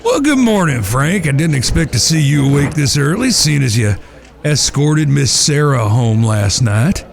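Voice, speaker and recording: A wry eldest brother from an Old West radio play acted by a man. His voice is somewhat high with a gravelly creak, and he talks in a folksy, mock-formal, teasing way. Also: expressive, moderate pace. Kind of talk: Western audio drama acting.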